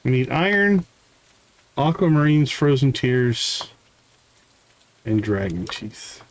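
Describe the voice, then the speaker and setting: A man's voice: mid-range voice, big pitch swings, slow pace, a little hiss or hum, low-quality recording. A man giving casual live game commentary, thinking aloud in short fragments. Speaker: game streamer.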